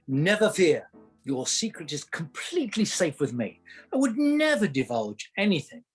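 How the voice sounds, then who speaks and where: Man playing a husky, droll gentleman satirist. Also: a little high, sing-song, moderate pace, soft background music. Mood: sly, needling. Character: W. S. Gilbert, teasing, sly gentleman satirist. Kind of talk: radio play acting.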